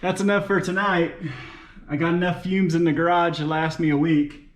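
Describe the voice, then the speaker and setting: A man's voice: fairly high pitch, level tone, moderate pace. A man giving casual, folksy running commentary to himself as he works on a car in a garage. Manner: talks to himself, folksy and playful. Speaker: vlogger working on his car. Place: home garage. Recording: roomy garage echo, clear background noise.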